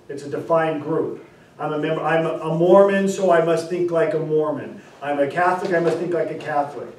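A man in his forties teaching a class, explaining terms through repeated examples and stressing key words slowly. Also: fairly high pitch, darkish tone. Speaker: instructor. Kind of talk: classroom lecture. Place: classroom, indoors. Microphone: far camera. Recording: echoey classroom from far off.

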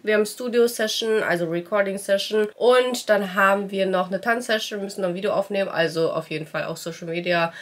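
A woman's voice: medium pitch, a little fast, hardly any pauses. Chatty young woman with a stuffy cold. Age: young adult. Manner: chatty and upbeat, mixing in English words. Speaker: vlogger and music trainee. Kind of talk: casual vlog chat to camera. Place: bedroom at home, indoors.

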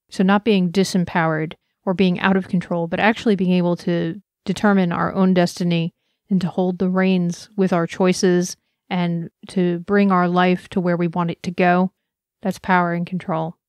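A woman's voice: on the low side, a little mellow, dry recording, studio quality. A woman giving a personal, earnest conference talk, speaking with passion and reflection. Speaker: conference presenter.

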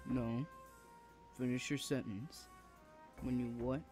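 A man's voice: medium pitch, fairly even intonation, takes it slowly, darkish tone, faint music behind, close to an everyday voice. A man voicing a rude, protective older brother in an audio roleplay, blunt and confrontational. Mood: suspicious. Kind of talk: amateur audio roleplay acting.

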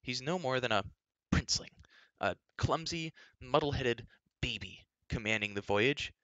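A man's voice: medium pitch, expressive, unhurried, sharp tone, no room sound, lo-fi sound, pitched above the performer's own voice. A man voicing a resentful, hot-headed young pig keeper in a storybook, muttering and bitter. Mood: scornful. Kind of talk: audiobook character voice.

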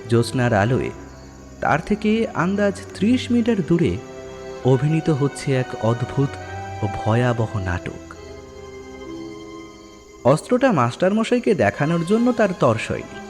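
A man narrates a horror story in a dramatic, scripted storytelling style. His pitch moves in lively swings over a faint bed of music. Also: a little slow, pauses often.

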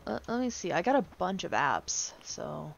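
Gravelly-edged woman muttering through a game. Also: fairly low pitch, sing-song, moderate pace, dull audio. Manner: muttering to herself, swears casually. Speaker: game streamer. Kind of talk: casual gameplay commentary, thinking aloud. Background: game music.

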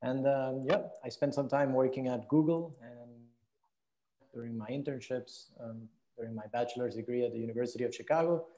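A man's voice: mid-range voice, fairly quick, dark tone, poor audio. A man in his thirties from Venezuela, answering reflectively as a guest on a video call interview. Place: indoor room, video call.

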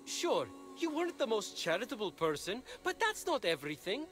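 A gossipy high-voiced young god. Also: male voice, brisk, faint music behind, heavily acted. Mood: incredulous. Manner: catty, breezy, expressive. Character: gossipy, flippant young male messenger god. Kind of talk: video game cutscene acting.

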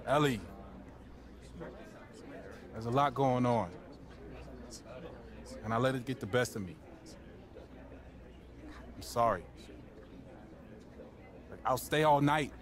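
A man's voice: medium pitch, slow pace, long pauses, close to an everyday voice. A young man playing a brooding college basketball star in a video game, speaking in a casual, naturalistic way. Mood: pleading.

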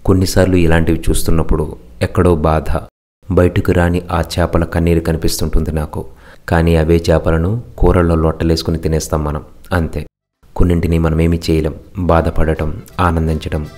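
Deep, flat-voiced man narrating. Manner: calm, thoughtful, philosophizing. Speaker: narrator of a market travel video. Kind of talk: reflective scripted voice-over narration.

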